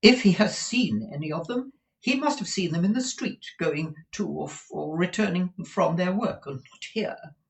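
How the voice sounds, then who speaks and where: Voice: mid-range voice, expressive, moderate pace, creaky, voice pushed up. A reader voicing an imperious, proper woman who runs a business, formal and emphatic in manner, in a storybook. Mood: stern. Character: imperious businesswoman, brisk, proper shop proprietress wife.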